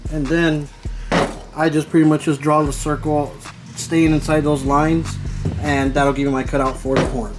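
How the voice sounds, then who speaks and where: A man's voice: fairly high pitch, level tone, moderate pace. A man hosting a DIY car audio build video, talking informally and explaining each step as he works. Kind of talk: casual hands-on workshop tutorial. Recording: music playing behind the voice. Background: music.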